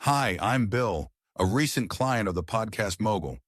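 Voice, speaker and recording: A man reading a scripted ad in a smooth, salesy way. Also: fairly low pitch, lively intonation, unhurried, a bit bright, dry recording, studio quality. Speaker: ad narrator. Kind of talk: scripted promo read.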